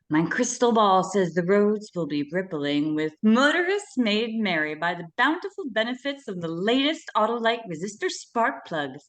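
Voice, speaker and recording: A coy mystical fortune teller played by a woman in a vintage radio ad. Her medium-pitched voice swings widely as she teases and sells with theatrical flourish. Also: close to an everyday voice. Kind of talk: 1950s radio commercial acting.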